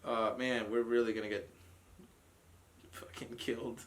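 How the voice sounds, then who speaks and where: A man's voice: medium pitch, level tone, unhurried, fairly bright tone. A man co-hosting a casual reaction podcast, blunt and profane, ranting with frequent laughs. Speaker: podcast co-host reviewing a trailer. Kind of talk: casual group reaction chat.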